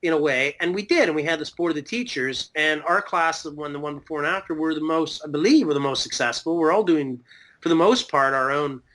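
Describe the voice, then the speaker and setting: Casting note: thirties male guest, somewhat high voice, loose rambling delivery, swears casually. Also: fairly quick, a bit bright, poor audio. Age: 30s. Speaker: podcast guest. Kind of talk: casual chat.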